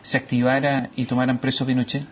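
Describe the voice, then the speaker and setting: Male tv interviewer, quick pressing questions, dull audio, faint background noise. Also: mid-range voice, completely flat delivery. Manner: pressing, follows up insistently.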